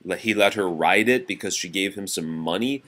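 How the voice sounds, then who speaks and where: A man reading nursery rhymes aloud to a young child in an animated sing-song, with patient, casual asides as a parent. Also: low voice, moderate pace, heavy background noise.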